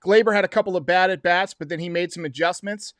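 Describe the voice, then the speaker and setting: A man in his thirties hosts a casual sports livestream in a high-pitched voice that is a little hoarse. He talks emphatically, like an excited fan, repeating phrases for effect. The sound is close and dry, from a desk microphone. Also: fast, no room sound. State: slightly hoarse. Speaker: host of a baseball livestream show. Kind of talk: casual livestream postgame commentary. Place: small home room.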